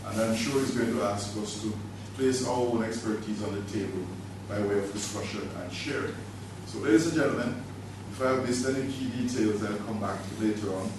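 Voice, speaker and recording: A man formally introducing a trainer to a workshop audience, speaking in a measured way. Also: fairly low pitch. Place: conference room. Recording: distant echoey room recording with noise.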